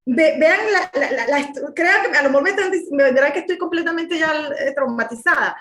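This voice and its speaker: A woman's voice: high voice, a little fast, fairly bright tone, echoey room. A young woman from Venezuela, a guest on a panel who speaks with passion and indignation and repeats words when she gets worked up. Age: young adult. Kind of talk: heated political commentary.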